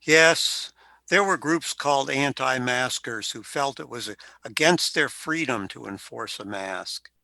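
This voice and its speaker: An actor playing the ghost of a World War One veteran, telling his story slowly in an amateur radio play. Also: mid-range voice, creaky, sharp tone, dry recording, full character voice.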